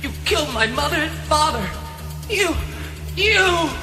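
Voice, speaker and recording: Young cartoon boy, high and dramatic, earnest orphan, echoing sound with loud music. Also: expressive, unhurried. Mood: shocked, accusing. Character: young boy, earnest, grief-stricken, vengeful son. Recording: roomy, under loud music.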